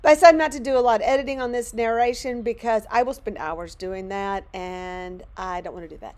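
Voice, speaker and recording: A middle-aged woman from Louisiana, hoarse and losing her voice, chatting in a rambling, self-deprecating way as she narrates a crafting video from her home studio. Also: a little high, a little fast, a little mellow. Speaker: craft channel host.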